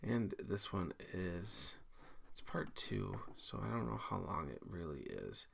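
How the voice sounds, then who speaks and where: A man's voice: deep voice, huge pitch swings, takes it slowly, gravelly, a bit bright, slight background noise. A man giving a casual screencast tutorial, explaining each step informally and thinking aloud as he works. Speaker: teacher giving a software tutorial. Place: indoors at a computer, screen recording.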